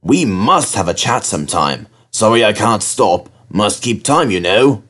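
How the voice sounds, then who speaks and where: A man voicing a conceited, sulky steam engine in a storybook, with haughty, drawn-out complaining. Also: fairly low pitch, very animated, a bit bright, heavily acted. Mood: pompous.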